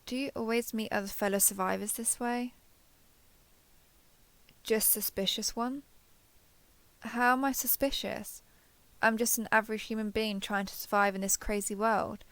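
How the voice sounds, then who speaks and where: A woman's voice: on the high side, fairly even intonation, moderate pace, long pauses, no echo. A woman playing a lonely, clingy alien posing as a human survivor in an intimate audio roleplay, speaking hesitantly and coaxingly to the listener. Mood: wary, placating. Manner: hesitant, coaxing, softly over-eager to please.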